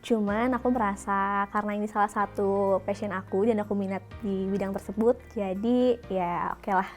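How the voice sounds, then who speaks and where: Young woman in her early twenties, casual interviewee, mid-pitched, soft music underneath. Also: moderate pace. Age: young adult. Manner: relaxed, thinking aloud. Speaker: interviewee, video editor.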